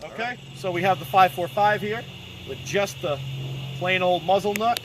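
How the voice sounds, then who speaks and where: A man's voice: high-pitched, normal speed. Plainspoken man outdoors at night. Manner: relaxed, plainspoken, thinking aloud. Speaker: gun reviewer filming a test. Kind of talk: casual outdoor commentary. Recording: noisy outdoor night recording.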